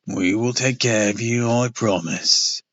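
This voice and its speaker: A man voicing a gruff, low-voiced monster at a ticket booth, slow, polite and eerie. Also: a bit bright, no room sound, muffled recording, lightly acted. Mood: eerie calm.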